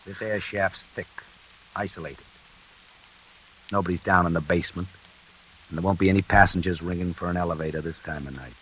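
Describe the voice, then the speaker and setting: An actor playing a sinister night elevator operator in a classic radio suspense drama, laconic and coolly insinuating. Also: male voice, deep voice, steady tone, a little fast, long pauses, fairly bright tone. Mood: insinuating menace. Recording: hissy, noisy old radio recording.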